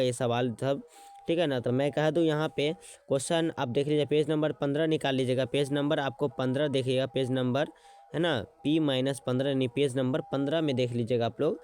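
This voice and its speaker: A man teaching an exam-prep lesson, repeating words slowly as he writes them out and checking in with his students as he goes. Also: medium pitch, a little mellow, clear, resonant tone. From Bihar.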